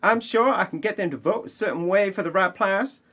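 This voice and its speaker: Scheming party socialite, played by a man, pitched high, quick and gossipy, audio-play parody. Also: bright, forceful tone. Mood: conniving.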